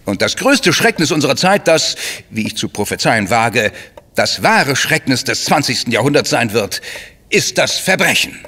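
Radio-drama crime novelist: mid-range voice, suave, self-important, ornate courteous delivery. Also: male voice, mid-range voice, very animated, normal speed, sharp tone, husky, exaggerated voice. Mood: effusive, grandiose. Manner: pompous, florid, eloquent. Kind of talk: German radio drama acting.

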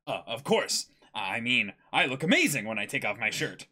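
A man in his twenties giving a theatrical, excitable dramatic reading mixed with casual banter as a co-host. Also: medium pitch, moderate pace, slightly rough. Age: 20s. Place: indoor room, seated.

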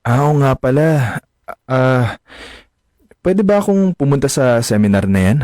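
An actor playing a respectful, earnest young son in a radio drama, polite and hesitant. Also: male voice, medium pitch, big pitch swings, unhurried, mellow, dry recording, clean studio sound. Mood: eager. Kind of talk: Filipino radio drama acting.

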